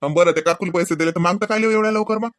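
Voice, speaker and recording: A man's voice: high voice, rapid-fire, fairly bright tone, dry recording, studio quality. A man voicing a sheepish, easygoing young village son in a comedy cartoon, mild and hesitant, with a Vidarbha Marathi accent. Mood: placating. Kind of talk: comedy cartoon dialogue in rural dialect.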